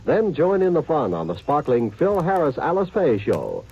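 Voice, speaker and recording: A male network announcer with a husky, fairly high voice rolls through a lively 1940s program promo, his pitch bouncing up and down. The sound is dull and lo-fi, like an old broadcast. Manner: brisk, upbeat promotional delivery. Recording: dull vintage radio sound.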